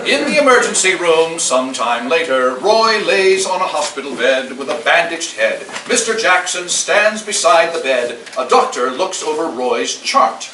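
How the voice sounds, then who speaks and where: A man with a high-pitched voice reads screenplay action lines as narrator at a staged reading. He is heard from a distance in an echoey room, with clear noise behind him. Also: sing-song, unhurried, hardly any pauses. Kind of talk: scripted narration at a live table read. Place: live staged event in a room.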